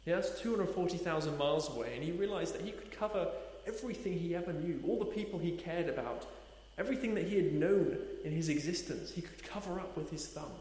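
Earnest male preacher, a little higher-voiced, booming room echo. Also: darkish tone. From Nepal. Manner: earnest, slowing for emphasis, rhetorical questions. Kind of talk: sermon. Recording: echoey hall reverb.

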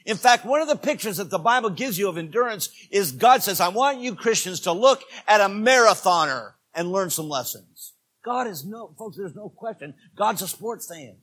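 A man in his sixties preaching a sermon as pastor, swinging between quiet asides and loud, emphatic preaching. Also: high voice, expressive, moderate pace. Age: 60s.

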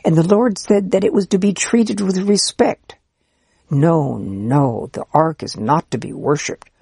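A gentle, patient old priest , as a storybook reader puts him on, explaining things kindly and earnestly. His voice is husky with a gravelly edge. The recording is close and dry. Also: mid-range voice, unhurried, dry recording. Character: kind, patient old male Israelite priest. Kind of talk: storybook character reading.

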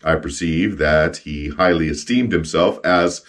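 A man with a rough, froggy voice from a cold hosts a podcast, chatting casually with joking asides and reading stories aloud. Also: rumbling low, measured pace, fairly bright tone. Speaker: podcast host and street evangelist.

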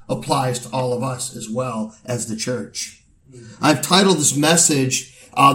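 A man preaching a sermon as pastor, his voice hoarse and gravelly, speaking in an earnest, measured way with pauses. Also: medium pitch. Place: church sanctuary. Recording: roomy sound from a distant mic.

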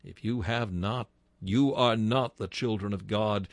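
A man preaching an old-style sermon, slow and declamatory, rising to ringing exclamations and sinking to hushed, reverent tones. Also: on the low side, no echo, clean studio sound. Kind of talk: Victorian-style sermon reading.

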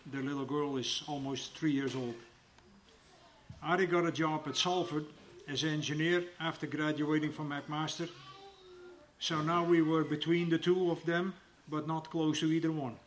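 Older man of about seventy with a fairly high voice, reading his testimony in church, steady and plain delivery, faint hiss underneath. He speaks to a congregation before his baptism. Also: moderate pace. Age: around 70.

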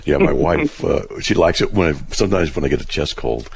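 A man with an extremely low voice, roughened and gravelly by a developing chest cold, hosts a radio call-in show from a studio mic. He talks in a relaxed, chatty way and walks through scripture plainly. There is a faint hiss behind him. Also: fairly quick. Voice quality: very deep, gravelly voice. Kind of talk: casual radio call-in talk.

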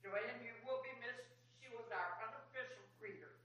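A bartender speaks plainly at a memorial, pausing now and then. The voice is bright, heard from far off in a very echoey room with faint noise and hum behind. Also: medium pitch. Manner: plain, conversational, with pauses. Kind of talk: memorial tribute. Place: church memorial service. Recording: echoey distant room mic.

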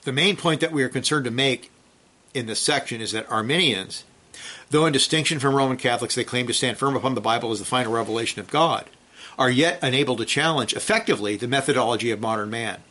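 Bible teacher, male, bright and forceful tone, reads with emphasis, loose asides with restarts. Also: mid-range voice, fast talker. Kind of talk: reading a theology book aloud, then commenting and praying.

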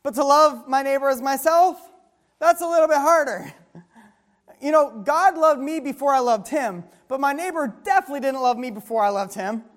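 High-voiced woman preaching a sermon. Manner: call-and-response questions, reading scripture slowly.

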